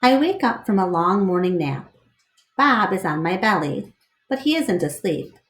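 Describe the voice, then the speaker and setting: A woman narrating a children's book aloud as a storyteller, in an expressive read-aloud style. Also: mid-range voice, a little slow, roomy sound.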